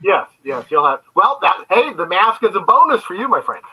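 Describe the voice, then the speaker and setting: A man speaking as a creator guest in an interview on an online call, telling stories conversationally in a halting way. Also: sits high, sing-song, breathy edge, dull audio. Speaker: guest, comic book creator. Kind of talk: conversational interview answers, storytelling. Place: remote online video call.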